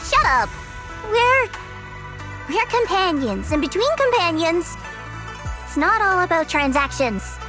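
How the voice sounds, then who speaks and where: A high-pitched, guarded, proud young woman. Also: sing-song, slow, pauses often, over music, full character voice. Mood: flustered, curt. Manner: curt, guarded, tsundere. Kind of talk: anime-style game dialogue.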